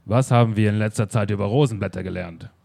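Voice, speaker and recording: A man's voice: lowish voice, quick pace, creaky, darkish tone. A man playing a detective in an improvised audio drama, terse and musing, bossing his assistant around. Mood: commanding. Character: unhurried, self-assured male detective, bossy with his assistant.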